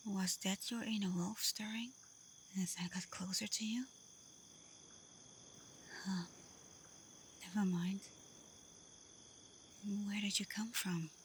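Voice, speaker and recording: A confident female werewolf alpha , played by a woman, talks straight to the listener in an ASMR roleplay. Her voice is soft and breathy, often near a whisper, with little rise or fall. She takes her time, gently teasing and protective, with faint crickets and night forest ambience behind her. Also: mid-range voice, a little hiss or hum, close to an everyday voice. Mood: concerned, curious. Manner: calm, unhurried, gently teasing.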